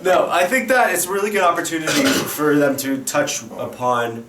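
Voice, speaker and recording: A man's voice: a little high, lively intonation, moderate pace, fairly bright tone, recorded from a distance, a little hiss or hum. A man co-hosting a podcast with casual, playful banter, recorded off the mic in an echoey room. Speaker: podcast co-host.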